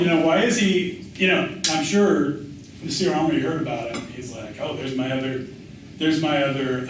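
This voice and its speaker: A middle-aged American man, an author and writing teacher, talking informally in a question-and-answer session, anecdotal and often doubling back on his phrases. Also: fairly high pitch. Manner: conversational, anecdotal, often restarts and repeats phrases for emphasis. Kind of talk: informal spoken Q&A after a reading. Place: indoor reverberant event room. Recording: echoey room, distant mic, faint noise.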